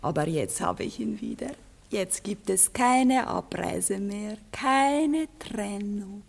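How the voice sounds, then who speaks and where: An actress playing a possessive young mistress in a German radio play, coquettish one moment and bossy the next. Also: female voice, medium pitch, animated, slow, vocal fry, full character voice. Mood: playful, affectionate. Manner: imperious, coquettish, swooping from coaxing to sharp demands. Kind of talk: German radio play acting, theatrical dialogue.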